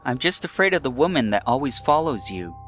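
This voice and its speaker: A man voicing a shy, frightened young girl in a horror story, speaking quietly and factually. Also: medium pitch, very animated, a little fast, pitched above the performer's own voice. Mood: blunt, afraid. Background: eerie background music.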